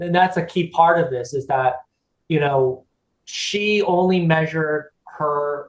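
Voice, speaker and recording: A middle-aged man chats as a podcast guest, rambling through stories with lots of restarts, fillers and easy laughter. His voice sits a bit higher than most men's, and the room around him rings with echo. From United States (California). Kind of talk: casual chat.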